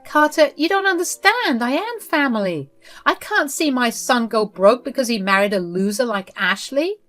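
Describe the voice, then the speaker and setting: A woman voicing a pushy, scornful older mother-in-law who talks down in a shrill, condescending way. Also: expressive, normal speed, a bit bright.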